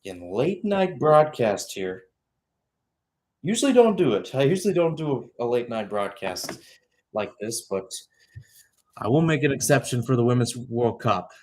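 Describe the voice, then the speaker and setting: A man's voice: medium pitch, big pitch swings, normal speed, darkish tone. A young man giving live radio-style soccer play-by-play, drifting into conversational, rambling asides and correcting himself as he goes. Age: young adult. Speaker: play-by-play commentator.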